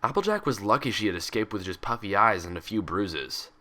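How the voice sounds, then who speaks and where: A man's voice: fairly low pitch, expressive, a little fast, pushed and bright. A man reading a story aloud as a scripted narration. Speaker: fan fiction reader and host.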